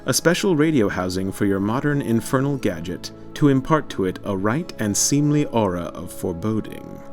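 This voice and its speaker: A man's voice: lowish voice, lively intonation, normal speed, darkish tone, faint music behind. A man reading scripted narration in a formal, theatrical manner.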